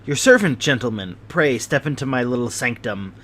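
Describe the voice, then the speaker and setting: A man voicing a fidgety, anxious bald little man of thirty with a high, thin voice and fussy, overly polite manner. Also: expressive, normal speed, a bit bright.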